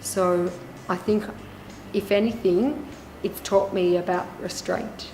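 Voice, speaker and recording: Australian woman, hospital volunteer, reflective interview, mid pitch, roomy echo, music behind. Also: steady tone, takes it slowly, a little mellow, slight background noise. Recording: echoey room, music underneath.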